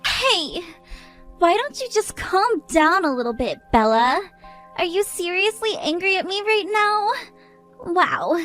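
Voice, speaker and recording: Woman voicing a high, giggling drunk troublemaker, sing-song taunts over soft music. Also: expressive, a little slow, full character voice. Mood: mocking tipsy.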